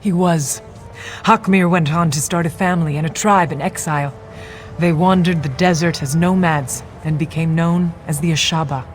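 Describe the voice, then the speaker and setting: An actress playing a young woman of a desert tribe in a game, recounting her people's history in a measured tone. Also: low voice, faint music behind, natural voice. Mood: solemn. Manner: measured storytelling tone. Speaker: game character.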